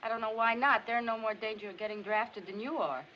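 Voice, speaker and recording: On a dull, slightly noisy old movie soundtrack, an actress plays a tough young American showgirl. Her voice is highish and forceful, her delivery sassy and sardonic. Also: fast, lightly acted. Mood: wry. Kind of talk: 1950s film acting.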